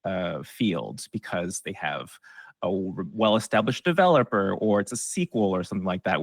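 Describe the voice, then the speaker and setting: A man's voice: on the low side, fairly bright tone, no echo. A man explaining things as an expert guest in a casual interview, often acting out imagined audience reactions in a conversational way.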